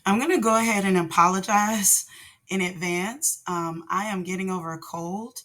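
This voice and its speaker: A woman hosting a casual tutorial vlog, hoarse from laryngitis, with a naturally deep voice and a rambling way of talking full of ums. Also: steady tone, normal speed, fairly bright tone. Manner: rambling, with many ums and restarts. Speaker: channel host, product designer.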